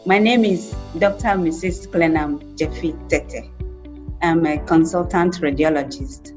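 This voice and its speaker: A woman from Ghana, a consultant radiologist, slowly introducing herself in a short video. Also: low-pitched, a little mellow, dull audio, faint music behind.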